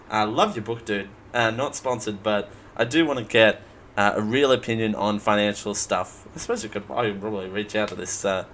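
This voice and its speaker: A hoarse man hosting a casual live show, rambling, joking at himself and stumbling while he reads out news stories. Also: lowish voice, a bit bright, slight background noise. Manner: rambling, self-mocking, stumbles over names. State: hoarse after flu. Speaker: host. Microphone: headphones at a desk mic.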